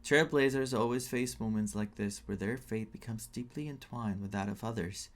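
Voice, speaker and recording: A young man with a Greek accent streaming a game, chatting excitedly, laughing often and reading quest text aloud. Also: on the low side, moderate pace.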